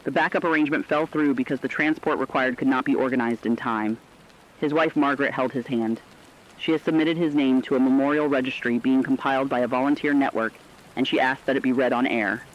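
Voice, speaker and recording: Muffled radio guy, mid pitch, solemn and plain, reading a script slowly and deliberately. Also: fairly even intonation. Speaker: radio broadcast narrator. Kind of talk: scripted narration, solemn human-interest storytelling.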